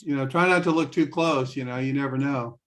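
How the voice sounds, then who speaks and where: A man's voice: mid-range voice, brisk, a bit bright. Joking, rambling man hosting a video call. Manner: joking, rambling, loose talk with fillers. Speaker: host of a live video call. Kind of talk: casual group video chat.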